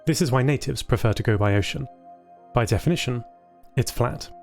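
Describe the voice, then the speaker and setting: A man's voice: mid-range voice, fairly quick, vocal fry, darkish tone, faint music behind, lightly acted. A man voicing a cheerful, plain-spoken male traveller in an audio drama. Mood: weary. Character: adventurous, cheerful male traveller, companion to a robot horse.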